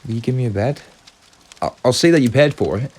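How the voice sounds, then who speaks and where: An actor playing a frightened, exhausted male traveller in an audio drama, speaking in a halting, apologetic stammer. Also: mid-range voice, darkish tone, slightly rough, close to an everyday voice. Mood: shaken, halting. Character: frightened exhausted male traveller seeking shelter, polite and shaken.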